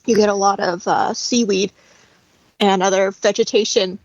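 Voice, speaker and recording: A woman talking casually as a guest in a relaxed conversation. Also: mid-range voice, moderate pace, no room sound, lo-fi sound. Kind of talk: casual chat.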